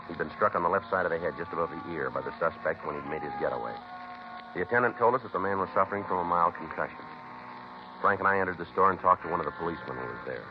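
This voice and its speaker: A man's voice: low-pitched, lightly acted. A man playing a dry, businesslike American police detective sergeant in a 1950s radio drama, speaking in a clipped, matter-of-fact way. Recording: noisy, muffled old radio broadcast.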